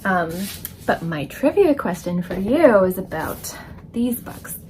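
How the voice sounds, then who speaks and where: A woman in her forties chats casually to camera about her work as an illustrator. Her voice sits at a middle pitch. There is faint noise in the room behind her. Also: sing-song, takes it slowly, a little mellow, rough recording. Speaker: children's book illustrator and author. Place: indoor room full of books.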